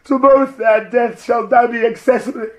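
A man's voice: very high-pitched, fairly even intonation, moderate pace. An actor playing a scheming, silver-tongued nobleman who fervently woos a widow in theatrical Shakespearean verse. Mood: frenzied, pleading. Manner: theatrical, declamatory, insinuating. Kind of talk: classical Shakespearean stage-style verse acting.

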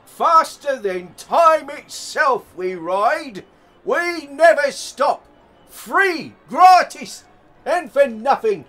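A man's voice: very high-pitched, lively intonation, extremely slow, fairly bright tone, soft background music, voice pushed up, exaggerated voice. A man voicing a sinister, wheedling fairground showman who coaxes children with a mocking sing-song. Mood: gleeful.

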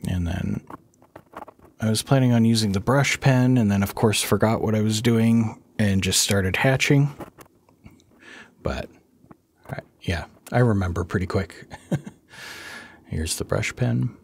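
A man hosting an art channel, narrating his drawing in a casual voice-over. He sounds under the weather and worn out, with a raspy voice and a rambling, soft-spoken manner. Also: on the low side, takes it slowly, long pauses, a little hiss or hum. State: under the weather, low energy.

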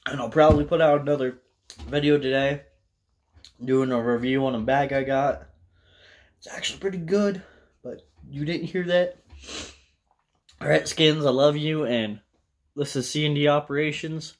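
A young American man tells a personal story casually to camera, in a loose, halting way with plenty of swearing. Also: fairly high pitch, fairly even intonation. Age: young adult. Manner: loose, profane, halting delivery. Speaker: vlogger telling a personal story. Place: small indoor room with bare wall.

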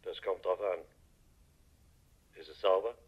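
An actor playing a wary, terse criminal on the telephone, curt and guarded, in a German radio crime drama. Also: male voice, low voice, steady tone, unhurried. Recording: dull telephone-line sound.

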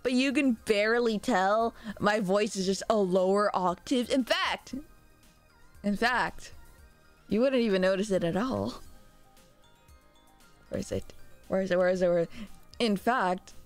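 A young adult woman streaming live, chatting excitedly with viewers and thanking them by name, speaking through a sore throat. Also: mid-range voice, measured pace, long pauses. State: sore throat, a little sick. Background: faint background music.

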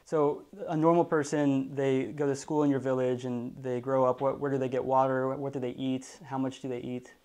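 A man's voice: medium pitch, little pitch movement, moderate pace, few pauses, a little mellow. A young man in his twenties hosting an interview in a living room, prompting his guest with friendly questions. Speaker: host. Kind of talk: interview questions to a live audience.

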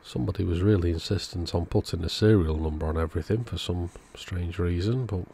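A man, host of an electronics repair video, calmly thinking aloud as he methodically works on a radio at his workbench. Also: deep voice, a little fast, vocal fry, husky. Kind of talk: casual narrated repair walkthrough.

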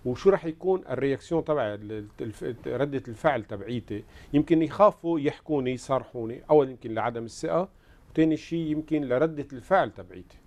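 A Lebanese man in his sixties hosts a studio interview. His voice is mellow and mid-pitched, and he builds his questions in halting short phrases. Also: animated. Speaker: host. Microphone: lapel mic.

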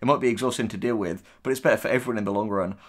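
A man's voice: lowish voice, racing. A man co-hosting a casual reaction show, reading posts aloud and commenting with sarcasm and swearing. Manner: sarcastic, profane. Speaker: co-host, audio drama writer.

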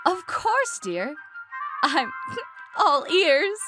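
A woman voicing a guarded motherly goat monster with formal, measured speech in an amateur comic dub. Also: high voice, big pitch swings, over music, heavily acted. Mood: fond, attentive. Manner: formal, measured, avoids contractions.

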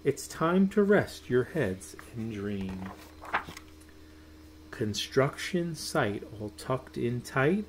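A man's voice: mid-range voice, sing-song, a little hiss or hum, rough recording. A middle-aged man reading a rhyming children's picture book aloud in a sing-song way, drawing out words. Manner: sing-song, drawn-out words with soft hushed goodnights. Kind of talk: expressive read-aloud of a rhyming children's bedtime story. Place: small room at home.

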